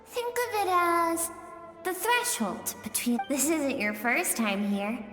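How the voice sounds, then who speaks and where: Echoey, high-voiced mysterious female guide. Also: sing-song, takes it slowly, exaggerated voice. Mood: knowing. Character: enigmatic spirit-like female guide in an inner world. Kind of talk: video game character acting. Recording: echoey long reverb, music behind.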